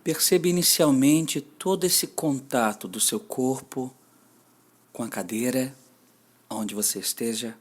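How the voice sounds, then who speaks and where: A man with a Brazilian Portuguese accent guiding a mindfulness meditation, giving gentle, deliberate instructions with long pauses. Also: medium pitch.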